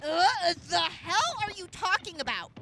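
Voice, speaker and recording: A woman's voice: extremely high pitch, big pitch swings, normal speed, vocal fry, sharp tone, a little hiss or hum, full character voice. A woman playing a blunt, sarcastic, weary wasteland soldier who complains in a post-apocalyptic audio drama. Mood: sardonic.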